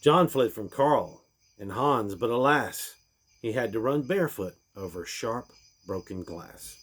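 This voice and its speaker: A man's voice: medium pitch, expressive, a little slow, a bit bright, breathy edge. A man reading a rhyming storybook aloud in a theatrical, emphatic manner, swelling and then dropping away at the ends of lines. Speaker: reader of a parody Christmas storybook.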